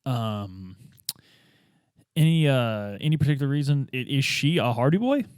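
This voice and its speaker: A man's voice: medium pitch, very animated, moderate pace, a little mellow, clean tone, no echo, clean studio sound, lightly acted. A man playing a hesitant, well-meaning police detective who fumbles informally through his questions in a tabletop roleplay. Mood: puzzled, probing.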